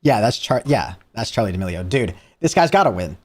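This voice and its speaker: A man's voice: lowish voice, very animated, a little fast. Rambling young streamer guy. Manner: rambling, heavy use of "like". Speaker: streamer reacting to a video. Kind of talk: casual live-stream commentary.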